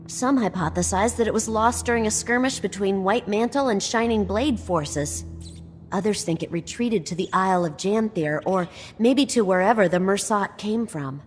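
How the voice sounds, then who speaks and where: A woman voicing a wry, bookish explorer-scholar in a video game, explaining things with dry dark humour. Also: mid-range voice, moderate pace, natural voice. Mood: keen, scholarly. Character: wry, nerdy female explorer-scholar, quick with dark jokes. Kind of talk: scripted video game dialogue. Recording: long hall reverb, game music behind. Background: game music.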